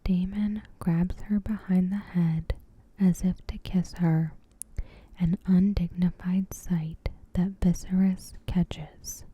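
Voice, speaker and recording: A woman doing ASMR in a hushed, breathy whisper, gently and unhurriedly reading a recap of a story. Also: fairly low pitch, level tone, slow pace. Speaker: ASMR creator and host. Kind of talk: soft-spoken ASMR, reading a TV episode recap.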